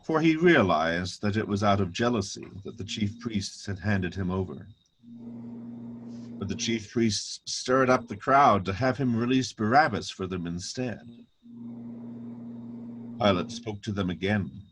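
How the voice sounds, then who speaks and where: A man narrating a dramatic scripture reading with solemn, measured, deliberate phrasing. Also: lowish voice, lively intonation, a bit bright, a little hiss or hum, rough recording.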